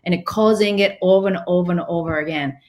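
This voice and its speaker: A woman's voice: lowish voice, fast talker, a little mellow. Enthusiastic fifty-something woman teaching face yoga. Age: 50s. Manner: enthusiastic, non-native phrasing. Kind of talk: webinar chat and instruction.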